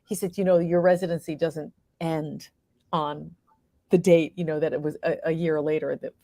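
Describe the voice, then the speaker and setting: Lower-pitched woman, dry close sound. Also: little pitch movement, normal speed, mellow, dry recording. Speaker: playwright and performer, interview guest. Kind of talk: casual conversational interview answers.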